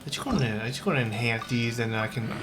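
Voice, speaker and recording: A man's voice: medium pitch, fast talker, background noise. A man giving casual, rambling gameplay commentary as a streamer, hesitating and repeating his words.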